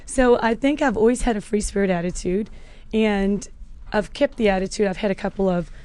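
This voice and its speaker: A woman's voice: medium pitch, fairly quick, warm tone, smooth, no room sound. A woman from South Africa with a South African accent, a fitness coach talking as a podcast guest in an upbeat way, with small restarts. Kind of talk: casual interview talk.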